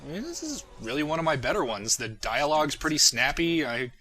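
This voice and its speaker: A man's voice: fairly high pitch, very animated, fairly quick, bright, forceful tone. A man giving casual, jokey and self-deprecating audio commentary as the creator of the show he is talking about.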